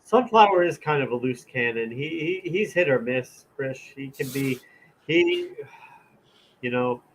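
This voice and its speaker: A man's voice: mid-range voice, animated, slight background noise, rough recording. A man with a nasal voice co-hosting a podcast in casual chat, often stumbling over the start of his sentences. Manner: hesitant, halting sentences. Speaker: co-host.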